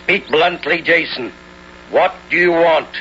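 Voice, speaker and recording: Aeetes, sharp-voiced crafty haughty king. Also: on the high side. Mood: sly challenge. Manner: pompous, measured, sly. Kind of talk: 1970s radio drama acting. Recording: dull old radio recording, faint hum.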